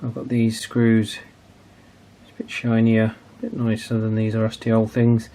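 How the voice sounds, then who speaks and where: A man's voice: lowish voice, monotone, a little slow, dark tone. A man in his forties narrating a hands-on repair casually, hesitant and thinking aloud as he goes. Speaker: video host, radio restoration hobbyist.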